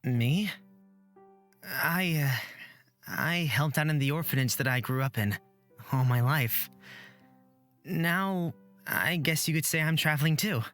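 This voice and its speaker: A polite young fantasy traveler, played by a woman, speaking gently and earnestly with small hesitations. Faint game music plays behind the voice. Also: sits low, lively intonation, slow, long pauses, pitched below the performer's own voice. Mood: curious.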